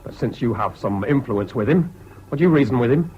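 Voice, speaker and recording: A man's voice: medium pitch, animated, fairly quick, close to an everyday voice. A man acting a stiff, worried college man in an old radio play. He speaks formally and urgently. The recording is dull and faintly noisy. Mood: anxious, urgent. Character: Laidlaw, anxious, formal Cambridge acquaintance. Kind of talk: 1970s British radio drama acting.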